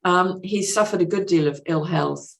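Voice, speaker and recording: A woman's voice: fairly low pitch, moderate pace, vocal fry. A woman speaking as a podcast guest and translator, in a thoughtful, hesitant conversational way with explanatory asides. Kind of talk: conversational interview, reading out a message.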